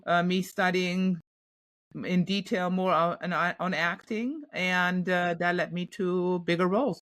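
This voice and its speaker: A woman's voice: lowish voice, fairly even intonation, measured pace, a bit bright, dry recording. German-accented woman, dry close sound. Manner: chatty, many fillers and restarts. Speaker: actress, podcast guest. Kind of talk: casual interview answers.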